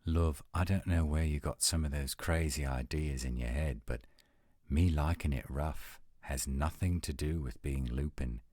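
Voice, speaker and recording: A man playing an adult male werewolf lover in a romantic roleplay audio, speaking in an intimate, measured, coaxing and reassuring way. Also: a bass voice, darkish tone, dry recording, studio quality. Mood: frank, intimate. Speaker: voice actor.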